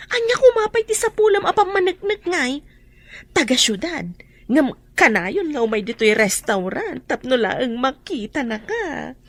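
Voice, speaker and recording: Very high, animated teasing woman. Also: a little slow, exaggerated voice. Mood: teasing, excited. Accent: Filipino. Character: teasing, chatty female friend, playful and nosy. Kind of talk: Filipino radio drama acting.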